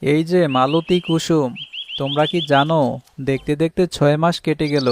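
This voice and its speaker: A man's voice: fairly high pitch, fairly even intonation, normal speed, natural voice. A man voicing a practical, good-humoured village fish farmer and husband in a cartoon fairy tale, explaining things step by step. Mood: encouraging. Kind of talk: animated fairy tale voice acting. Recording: clearly noisy track with birdsong.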